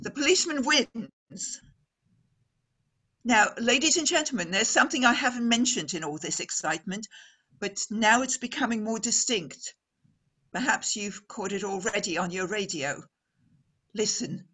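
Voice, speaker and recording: An actress playing a radio reporter in a radio play, describing the scene formally and dramatically, as if live on air. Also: female voice, mid-range voice, animated, normal speed, bright, forceful tone, husky. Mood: urgent, observant. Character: woman radio reporter, breathless and awestruck at a scene. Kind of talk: radio play acting as live news commentary.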